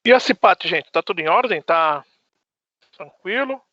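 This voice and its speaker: Brazilian man, online class teacher. Bright, forceful, fairly high voice, casual delivery, close dry sound. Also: animated, moderate pace, no room sound, lo-fi sound.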